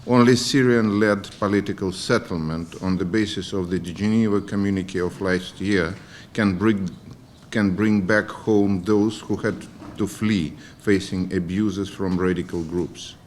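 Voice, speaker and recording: A man, a diplomat reading out a formal address somewhat haltingly at a conference. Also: deep voice, steady tone, a little mellow, slight background noise. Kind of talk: formal read speech. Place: conference room.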